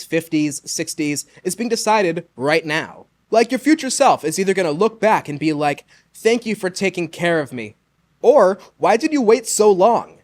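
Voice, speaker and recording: Male podcast host, lively swooping delivery, close dry sound, playful and casual. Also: high voice, dry recording. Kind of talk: casual comedy podcast banter, ad read and story reading.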